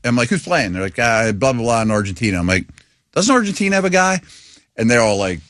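A man's voice: mid-range voice, very animated, fast talker, fairly bright tone, no room sound, studio quality. A middle-aged American man co-hosting a sports radio show, chatting casually and telling anecdotes.

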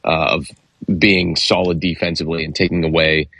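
A man's voice: extremely low pitch, level tone, normal speed, fairly bright tone, dry recording. A man co-hosting a sports radio show, talking casually and hesitantly with many fillers and restarts.

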